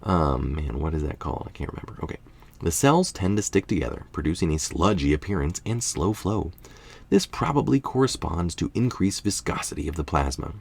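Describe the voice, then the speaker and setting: Deep, creaky-voiced man reading aloud. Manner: informal, musing, stumbles over technical words. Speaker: article reader and commentator. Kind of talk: reading a science article aloud with casual asides.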